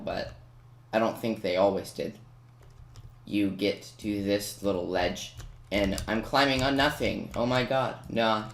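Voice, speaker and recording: A man giving casual, self-mocking and playfully boastful commentary over his own gameplay. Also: on the low side. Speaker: gaming video maker. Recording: roomy, noisy home recording with keyboard clicks.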